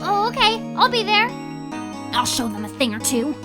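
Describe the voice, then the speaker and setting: Woman as naive teenage girl, very high voice, eager, impulsive, game dialogue, music clearly behind. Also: big pitch swings, normal speed. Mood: eager, determined. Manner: eager, indignant, guileless. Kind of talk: video game fan dub.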